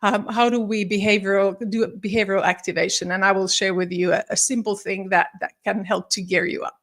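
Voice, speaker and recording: A woman's voice: medium pitch, steady tone, fairly quick, a bit bright. A woman from Finland in her forties, a psychologist and leadership coach, giving a live-streamed talk with many ums and restarts. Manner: friendly, many ums, restarts, slightly formal phrasing.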